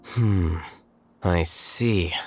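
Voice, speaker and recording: A man voicing a calm, rational young scholar in a video game, measured and detached. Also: sits low, soft background music, exaggerated voice. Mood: detached, analytical. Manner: measured, detached, matter-of-fact.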